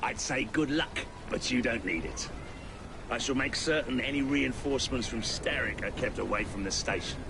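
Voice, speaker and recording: A man's voice: medium pitch, normal speed, noisy background, natural voice. An actor playing a gleeful, theatrical crime boss in a video game, grandiose and drawling as he relishes each word. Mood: grand, conspiratorial. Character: theatrical, gleeful villainous crime boss, middle-aged man. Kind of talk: video game cutscene acting.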